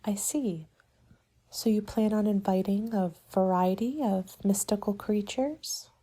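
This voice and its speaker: Soft, mellow woman in ASMR roleplay. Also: mid-range voice, husky. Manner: polite, measured, businesslike consultant patter. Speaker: party planning agent in an ASMR roleplay. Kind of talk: soft-spoken ASMR roleplay, partly whispered.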